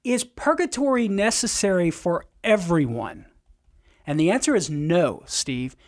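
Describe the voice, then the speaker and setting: A man's voice: high voice, big pitch swings, a little slow. Emphatic Cajun man explaining doctrine. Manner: emphatic, teacherly, addresses caller by name. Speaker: apologist. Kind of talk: explaining doctrine on call-in radio.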